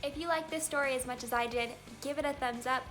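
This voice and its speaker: A woman hosting a children's read-aloud, telling a storybook in an animated, expressive way with emphatic exclamations. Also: sits high, quick pace. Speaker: read-aloud channel host. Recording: music behind the voice. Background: music.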